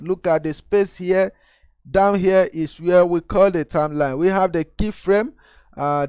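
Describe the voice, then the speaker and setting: Nigerian man walking through software steps. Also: high voice, smooth, no echo. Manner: steady step-by-step explaining, addresses viewers as guys. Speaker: tutorial host. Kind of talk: screen-recorded software tutorial.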